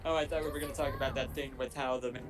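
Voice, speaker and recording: A man's voice: medium pitch, level tone, racing, over music. A man giving casual commentary on a film as one of a group of panelists. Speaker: panelist on a film watch-along. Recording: film dialogue and chatter behind voice.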